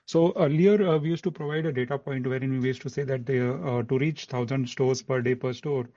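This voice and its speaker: A man with an Indian accent puts questions as an analyst on an earnings call. His phrasing is halting and his tone dark and mellow. The line is dry and lo-fi. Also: medium pitch, steady tone, dry recording. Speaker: financial analyst. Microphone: conference call line. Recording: dull lo-fi phone line.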